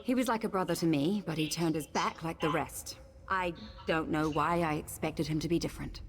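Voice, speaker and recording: A woman's voice: low-pitched, a little fast, a crowd in the background. A woman voicing a guilt-ridden, exiled female sea captain in a video game, speaking in a grim, remorseful way.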